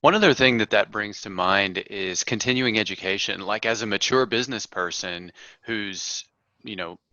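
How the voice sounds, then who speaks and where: A man's voice: fairly low pitch, moderate pace, bright, forceful tone, no echo, highs cut off. A man hosting a podcast interview, asking questions in a curious, casual way. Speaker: podcast host.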